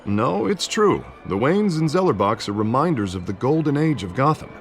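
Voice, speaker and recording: An actor playing a smooth-talking, eager, idealistic politician who charms and persuades, in a dramatic video game. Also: male voice, mid-range voice, very animated, normal speed. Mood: charming, upbeat.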